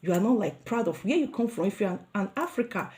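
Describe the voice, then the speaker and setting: A woman's voice: medium pitch, lively intonation, quick pace, darkish tone, slight background noise. Nigerian mama talking to her viewers, earnest and repetitive, lots of "like" and restarts. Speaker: vlogger. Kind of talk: motivational talk to camera.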